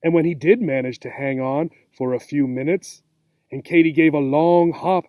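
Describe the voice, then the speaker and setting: Mellow male storybook teacher, higher-pitched. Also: fairly even intonation, moderate pace, no room sound. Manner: checks understanding with questions. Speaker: teacher reading a storybook aloud. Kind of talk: expressive children's story reading for English learners.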